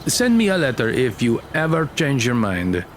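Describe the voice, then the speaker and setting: A man's voice: mid-range voice, huge pitch swings, moderate pace. A man playing a genial, persistent military colonel in an audio drama, informal and a little hesitant. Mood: persuasive. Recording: music under the voice.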